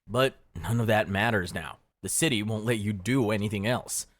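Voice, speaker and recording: A man with a fairly low voice narrates a scripted history story addressed to the listener. His delivery is darkly wry and dramatic, and the sound is dry and close. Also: normal speed, no room sound. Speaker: history explainer video narrator. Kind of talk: scripted second-person narration.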